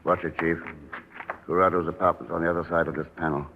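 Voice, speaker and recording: An actor playing a calm male secret agent, speaking in clipped lines in an old radio drama. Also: low voice, quick pace, narrow, tinny audio. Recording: hissy old radio recording.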